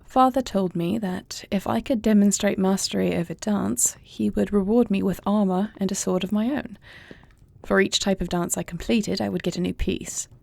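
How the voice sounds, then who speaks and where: A woman playing an earnest young princess and heir in an intimate audio roleplay, soft-spoken and formal in her phrasing. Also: mid-range voice, normal speed, vocal fry. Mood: fond, playful. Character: earnest young princess and warrior heir, anxious yet tender.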